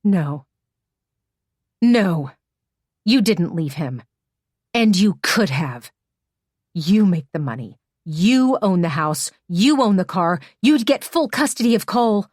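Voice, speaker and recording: A woman narrator voicing a furious adult woman who yells and curses in relentless accusations. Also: low-pitched, a little slow, few pauses, husky, dry recording, studio quality. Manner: yelling, cursing, relentless accusations.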